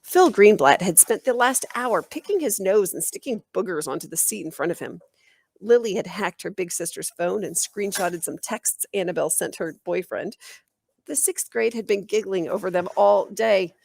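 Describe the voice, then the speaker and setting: A woman reading a children's book aloud in an animated, expressive storytelling style. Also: mid-range voice, moderate pace, gravelly, no echo. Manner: animated, acts out dialogue.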